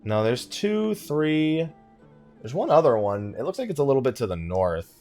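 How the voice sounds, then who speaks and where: A man's voice: medium pitch, big pitch swings, moderate pace, a little mellow, soft background music. A man giving casual let's play commentary, chatting and rambling as he thinks aloud while he plays. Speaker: gaming YouTuber, let's play host.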